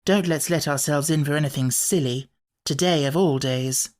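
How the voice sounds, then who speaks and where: A woman's voice: low voice, normal speed, a little mellow, close to an everyday voice. A woman voicing a sensible, earnest young girl who likes to caution others, in a storybook. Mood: warning. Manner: earnest, warning.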